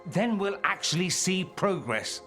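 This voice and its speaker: An actor playing a suave, ambitious mage and rebel schemer in a fantasy video game, speaking with wry, theatrical eloquence. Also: male voice, high-pitched, big pitch swings, unhurried, a bit bright, faint music behind, exaggerated voice. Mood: wry, persuasive. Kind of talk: dramatic video game dialogue acting.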